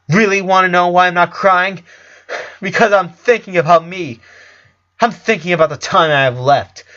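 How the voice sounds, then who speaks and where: A man's voice: high voice, fairly bright tone, lo-fi sound, voice pushed up. A man voicing a defiant, wounded eleven-year-old boy in a story reading, speaking with insistent emotion. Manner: insistent, emotional, repetitive phrasing.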